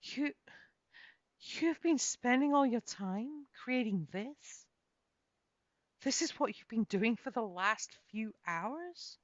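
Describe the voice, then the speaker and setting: A woman's voice: a little high, expressive, slow pace, pitched above the performer's own voice. A woman voicing a nervous, hesitant female adventurer in a story, stammering her protests. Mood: astonished. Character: Kate, nervous short woman adventurer afraid of heights. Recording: muffled, echoey home recording.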